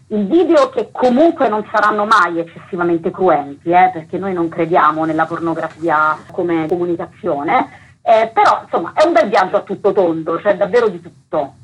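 A woman's voice: on the low side, husky, poor audio. A woman explaining things earnestly and emphatically as an interview guest. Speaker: guest, theatre author and actress.

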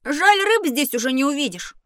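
Curious young boy, acted by a woman, high voice, swooping intonation, exclamatory, children's educational audio play. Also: moderate pace. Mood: excited, doubtful. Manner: expressive, exclamatory. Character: excitable, curious young boy on sea expedition. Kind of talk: scripted educational audio drama.

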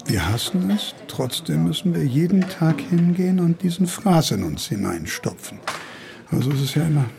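An actor playing a cynical older academic in a German radio play, grumbling in a pompous, declamatory, world-weary way. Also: male voice, fairly high pitch, gravelly, noisy background.

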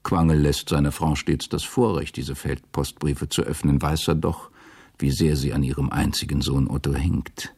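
Radio drama narrator: man, rock-bottom pitch, raspy fry, scripted literary storytelling. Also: fairly even intonation, normal speed, a little mellow.

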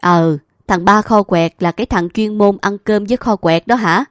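A woman's voice: mid-range voice, normal speed, no echo, clean studio sound, natural voice. A woman voicing a teasing, jovial older male landowner with a Southern Vietnamese accent and blunt rustic talk.

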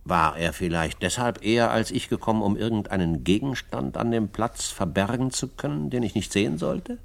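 An actor playing a self-assured frontiersman who narrates his own adventures in a radio drama, in a measured storytelling manner. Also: fairly low pitch, vocal fry. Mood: assured, suspenseful. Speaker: first-person narrator.

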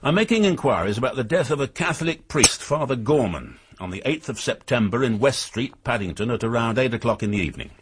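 An actor playing a shrewd, methodical British police inspector in a radio drama, speaking in a measured, formal way with a husky voice. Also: male voice, mid-range voice, lively intonation, background noise, close to an everyday voice. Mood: firm, official.

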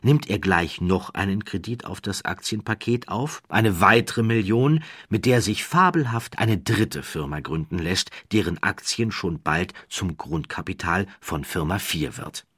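A man with a standard German accent narrating a scripted documentary story in measured, deliberate phrases, with dramatic emphasis and a wry undertone. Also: sits low, animated, a bit bright, slightly rough, dry recording, clean studio sound.

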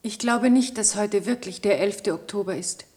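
An actress playing a dazed, fatalistic woman in a German radio play, speaking in short, halting phrases. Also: medium pitch, darkish tone, close to an everyday voice. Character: dazed, fatalistic woman emerging from a time experiment.

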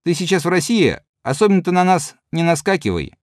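Man voicing mocking young soldier, scolding, animated, dry close sound. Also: high-pitched, fairly quick, no echo. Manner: teasing, scolding.

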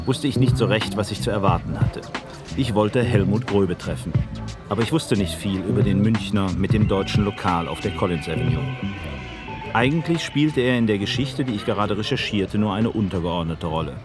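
Guy narrating a documentary, lowish voice, music under it. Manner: measured first-person storytelling. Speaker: investigative journalist narrator.

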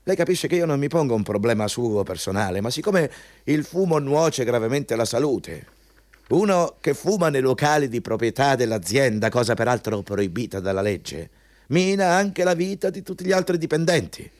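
A man plays a pompous, bullying male boss in a radio drama, with a very animated, swinging intonation. He hectors and interrogates like a fanatic for company rules, in a mid-pitched voice. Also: normal speed, close to an everyday voice. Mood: indignant, scolding.

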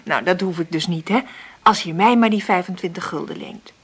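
A woman playing a middle-aged, hard-up mother in a naturalistic radio drama, understated and hesitant, with a husky, breathy voice. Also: on the low side, a little fast. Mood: coaxing. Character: hard-up, dreamy single mother, gentle but stubbornly hopeful.

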